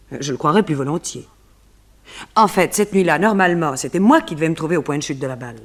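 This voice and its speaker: Composed woman suspect, lowish-to-high poised delivery, formal phrasing, radio detective drama. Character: beautiful, poised woman, self-possessed murder suspect. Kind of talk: French radio detective serial acting.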